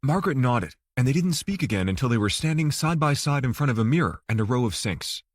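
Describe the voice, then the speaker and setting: A man's voice: medium pitch, lively intonation, quick pace, no room sound. A man reading a thriller novel aloud as an audiobook narrator. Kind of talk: scripted fiction narration.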